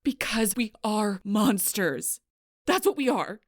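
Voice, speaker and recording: A woman playing a fierce, protective alpha werewolf in an audio roleplay, bitter and confrontational, with a breathy, rough-edged voice. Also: lowish voice, normal speed, dry recording, clean studio sound, heavily acted. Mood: angry, exasperated. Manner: intense, confrontational, swearing, often dropping to near-whisper. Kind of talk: audio roleplay acting addressed to the listener.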